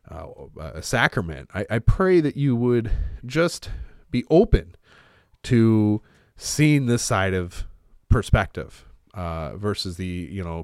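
A man's voice: lowish voice, dry recording. Man, pastor and podcast host, stuffy with a cold, resonant tone, dry close sound, rambling casual delivery. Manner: rambling, with frequent uh fillers and restarts. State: congested from a cold. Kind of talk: casual podcast talk on theology.

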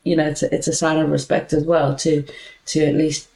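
South african lady chatting on a podcast, gravelly edge, echoey room. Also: low-pitched, level tone, a little fast, a little mellow. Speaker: guest. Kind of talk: casual podcast conversation.

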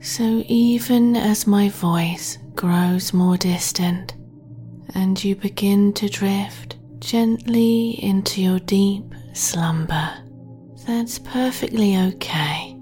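A woman hosting a guided sleep meditation in a soft, breathy half-whisper, gentle and soothing. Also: medium pitch, faint music behind. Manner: slow, soothing, gentle delivery.

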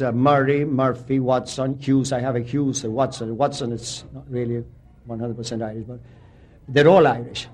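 A man from Argentina whose first language is Spanish, speaking English with an Argentine accent as he answers a radio interview in a reflective way, recalling names and places one by one. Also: medium pitch. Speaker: literature teacher.

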